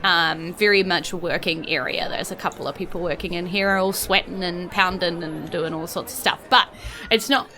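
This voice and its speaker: A woman's voice: on the low side, moderate pace, pushed and bright. A woman with a New Zealand accent, casually narrating and chatting as the game master of a tabletop role-playing game.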